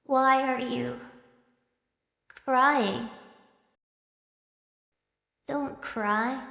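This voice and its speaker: A woman voicing a young shark girl idol, bitter and wounded, in a theatrical, dramatic drama delivery. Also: on the high side. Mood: weak, sorrowful.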